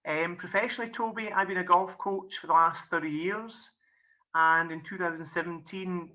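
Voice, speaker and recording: A man's voice: on the high side, bright, forceful tone. A middle-aged man with a Scottish accent, a golf and business coach, giving a friendly explanatory webinar talk with many "kind of"s and "um"s. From Scotland. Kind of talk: webinar presentation. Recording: muffled lo-fi webinar audio.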